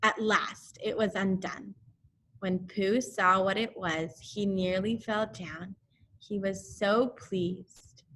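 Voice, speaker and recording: A woman reading a children's storybook aloud as a teacher would, with deliberate, careful and expressive phrasing. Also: medium pitch, rough recording. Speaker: primary school teacher.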